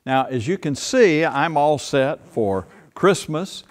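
Gentle older pastor talking to children. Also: male voice, on the high side, expressive. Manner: playful, speaks slowly and gently to children. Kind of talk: children's sermon. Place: church sanctuary, indoors. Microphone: far camera.

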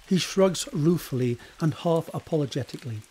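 A man's voice: on the high side, expressive, normal speed, mellow, slightly rough. A man narrating scene directions for a period romance audio drama in a scripted present-tense style. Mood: descriptive.

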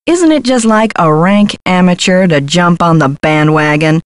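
A woman's voice: on the low side, a little slow, no echo, lightly acted. A woman voicing a brash, scheming Hollywood talent agent in a video game, glib and condescending, with slangy talk. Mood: dismissive, irritated.

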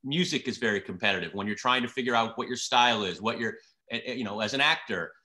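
A man's voice: mid-range voice, quick pace, pushed and bright. A man in his fifties, a TV producer, explaining things casually on a video call from his living room, telling stories and giving examples. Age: 50s. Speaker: TV producer guest.

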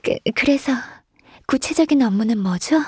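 An actress voicing a gentle, diligent, shy young woman secretary in a video game, speaking softly, politely and hesitantly. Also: sits high, lively intonation, normal speed, slightly rough, no room sound, studio quality, full character voice. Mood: calm, earnest. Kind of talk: video game character voice lines.

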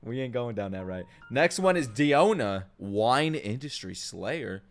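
Sweary male streamer with lively pitch. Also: measured pace. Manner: sweary, slangy, exclamatory. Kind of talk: casual live reaction commentary. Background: game trailer music.

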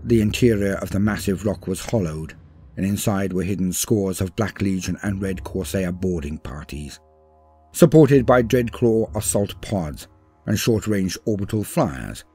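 A man reading a scripted war story as a dramatic narrator, grave and theatrical, in measured phrases. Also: deep voice, lively intonation, dark tone, slightly rough. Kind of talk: dramatic scripted lore read-through. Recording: voice-over with music behind. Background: music.

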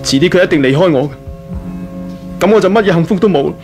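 A jealous, anguished young playboy in a 1980s radio drama. His voice is fairly high, with a Hong Kong Cantonese accent and an impatient, self-pitying manner, over background music. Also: quick pace, darkish tone. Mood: drunk, despondent.

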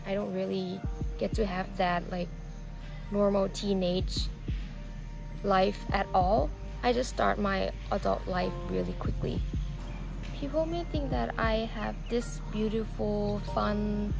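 A Thai woman in her twenties with a Thai accent, telling her own story softly and slowly to a camera outdoors, with many fillers. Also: mid-range voice, vocal fry, low-quality recording. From Thailand. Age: 20s. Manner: slow, soft and reflective, with many fillers. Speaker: vlogger. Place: outdoors on a lawn. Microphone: camera on a tripod, seated facing it.

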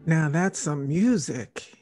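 A woman's voice: deep voice, expressive, slow, a little mellow, faint music behind. An older woman hosting a radio interview over a video call. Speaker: radio show host, interviewer. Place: indoors at home, on a video call.

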